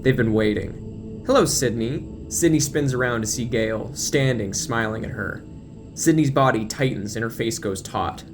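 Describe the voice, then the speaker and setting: A man's voice: medium pitch, moderate pace. Male narrator over prominent music. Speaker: narrator of an audio drama reading. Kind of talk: scripted dramatic narration, present tense.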